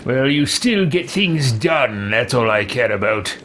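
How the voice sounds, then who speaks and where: A streamer puts on a pompous male lord for video game role-play. He is imperious and self-important, drawing words out slowly with animated pitch. Clear game noise runs behind. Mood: dismissive.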